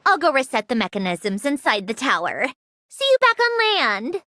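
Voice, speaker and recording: Haughty, high-voiced young genius. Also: female voice, wildly swooping pitch, moderate pace, bright, forceful tone, no echo, exaggerated voice. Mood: brisk, flustered. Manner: haughty, dry, lecturing. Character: proud, aloof, sharp-tongued young female genius. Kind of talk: video game voice acting. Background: background music.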